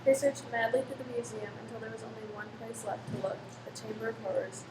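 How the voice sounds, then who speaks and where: Female narrator, rapid dramatic radio-play delivery, fairly high, echoey room. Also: fairly even intonation. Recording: distant mic, echoey room, clear noise.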